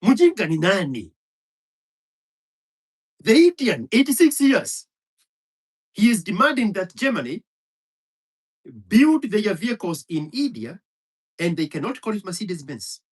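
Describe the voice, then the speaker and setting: Man, Kikuyu speaker, husky voice, swooping animated pitch, heated profane rant. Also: unhurried, long pauses. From Kenya. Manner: heated, profane, hammering repetition. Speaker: commentator. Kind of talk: impassioned monologue.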